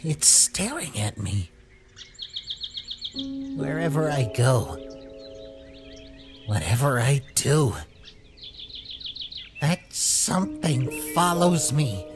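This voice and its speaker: An actor voicing a small, brooding talking flower in a comic dub, weary, somber and halting. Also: medium pitch, lively intonation, music in the background. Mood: haunted. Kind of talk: scripted comic dub acting.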